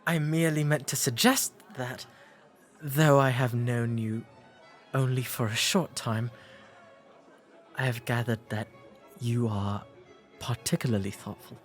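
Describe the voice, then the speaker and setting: Man playing a courteous English period gentleman, music behind. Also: mid-range voice, close to an everyday voice. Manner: formal, polite old-fashioned diction with hesitant, gentle delivery. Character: courteous, shy, bookish 19th century English gentleman, gently fond. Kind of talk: romantic period roleplay audio drama.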